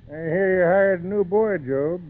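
An actor playing an old, plainspoken, protective wagon driver with folksy rustic speech in an old radio drama. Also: male voice, high-pitched, normal speed, lightly acted. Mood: dry, needling. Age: older. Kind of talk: 1940s radio acting. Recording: noisy, tinny old radio broadcast.

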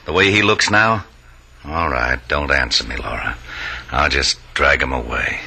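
A man's voice: very deep voice, unhurried, gravelly, heavily acted. An actor playing a jealous, menacing gunman in a vintage radio drama. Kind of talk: 1950s radio drama acting. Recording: crackly old radio recording with clicks.

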